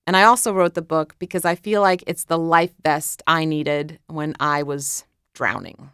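A woman with a Croatian accent talks casually about her book, lively and quick to tease herself. Her voice is smooth and resonant at a middling pitch. It is recorded close up in a dry studio. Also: dry recording. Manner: lively, self-mocking, laughs at her own slips. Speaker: author.

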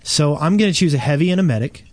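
A man's voice: fairly high pitch, expressive, quick pace, dry recording. A man co-hosting a gaming podcast in a casual, conversational style. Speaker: podcast co-host.

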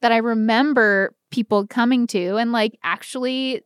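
An American woman co-hosting a podcast, telling personal stories in a casual, animated, slangy and self-deprecating way. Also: a little high, moderate pace, smooth, dry recording, studio quality. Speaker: podcast co-host. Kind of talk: casual chat, personal storytelling.

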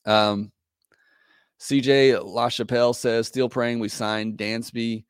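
A man hosting a podcast, reading listener comments in casual chat, hoarse as he loses his voice, talking in a loose, hesitant way full of fillers. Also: medium pitch, fairly even intonation, takes it slowly, no echo, clean studio sound. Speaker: podcast host.

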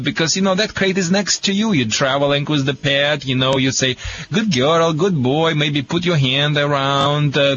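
A man's voice: fairly high pitch, a bit bright, a little hiss or hum. A man, an English speaker, giving blunt, emphatic, repetitive advice on a call-in radio show. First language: not English. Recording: slightly dull radio sound with music.

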